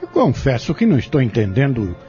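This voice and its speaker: Man acting a haughty accused man, very animated. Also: mid-range voice, fairly quick. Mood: defiant. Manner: indignant, formal, challenging. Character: defiant, indignant accused man on trial, haughty. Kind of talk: audio drama acting, courtroom scene. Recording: dull lo-fi audio with music underneath.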